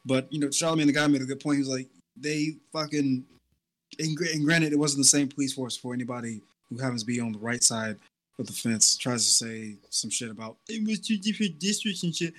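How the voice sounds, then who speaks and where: A man's voice: mid-range voice, fairly quick. A man in an easygoing podcast chat who wanders through his thoughts, full of "like" and "um." He has a plain mid-range voice. His sentences often stall and restart before he finds the point. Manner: rambling, hedging, trails off into fillers. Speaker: podcast co-host. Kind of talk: casual chat.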